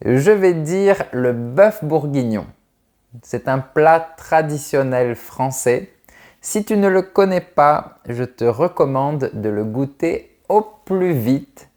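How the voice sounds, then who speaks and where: A man in his thirties from France, a French teacher answering viewers' questions in a clear, carefully articulated, friendly way. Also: medium pitch, lively intonation, slow pace, darkish tone. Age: 30s. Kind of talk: slow, clear video Q&A for learners.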